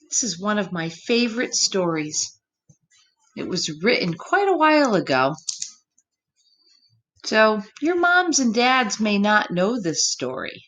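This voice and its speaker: A woman storyteller reading a picture book to children, speaking simply and expressively, with gentle questioning asides to young listeners. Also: mid-range voice.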